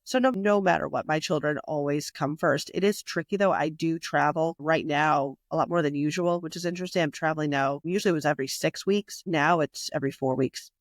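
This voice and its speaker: A woman's voice: deep voice, a little fast, hardly any pauses, no echo. Chatty woman guest, full of fillers. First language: not Italian. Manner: rambling, heavy on fillers and restarts. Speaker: magazine publisher and guest. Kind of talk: casual podcast interview.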